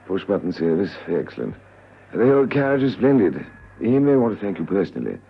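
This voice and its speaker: An actor playing a pompous, approving government official in a 1960s spy radio drama. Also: male voice, sits low, sing-song, fairly quick, gravelly, husky, heavily acted. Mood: pompous approval. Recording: muffled old radio recording, faint noise.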